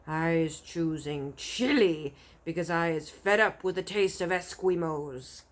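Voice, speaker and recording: A woman's voice: fairly low pitch, unhurried, lightly acted. A woman voicing a brutish man-eating male giant in a storybook, roaring and gloating in mangled grammar. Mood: gloating, boastful.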